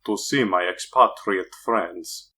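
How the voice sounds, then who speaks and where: A man playing a wistful Soviet nuclear scientist in a comic dub, with measured, formal phrasing. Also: low-pitched, bright, forceful tone, heavily acted. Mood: casual.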